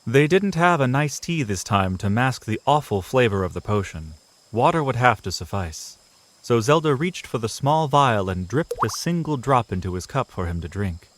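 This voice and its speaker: A man's voice: medium pitch, sing-song, normal speed, slight background noise. A man reading scripted narration for a fantasy audio drama, in a storyteller's style.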